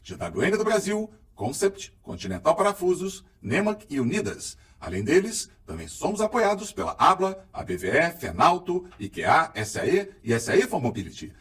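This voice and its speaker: Male show host, upbeat announcer energy, high and very animated pitch, slightly distant from the mic. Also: moderate pace, hardly any pauses. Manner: enthusiastic, energetic announcer delivery. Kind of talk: lively program host intro.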